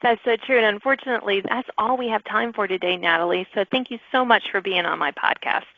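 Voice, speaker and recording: A woman's voice: mid-range voice, fast, no echo, lo-fi sound. A woman hosting a podcast, talking in a casual interview style. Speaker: podcast host.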